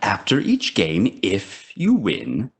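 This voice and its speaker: A man's voice: lowish voice, wildly swooping pitch, slow pace, gravelly, exaggerated voice. An actor playing a sinister, playful immortal toymaker in an audio drama, theatrical and taunting in his mock courtesy. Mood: teasing.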